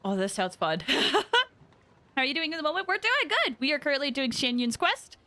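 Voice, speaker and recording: A woman's voice: sits high, sing-song, normal speed, pushed and bright. A woman livestreaming a game, chatting casually and reacting in a friendly way to the story and her viewers. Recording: game music behind voice. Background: game music.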